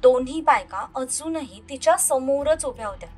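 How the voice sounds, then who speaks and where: A woman with a high-pitched voice narrates a romantic audio drama. Her delivery is scripted and dramatic. Faint music plays behind her. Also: expressive, moderate pace.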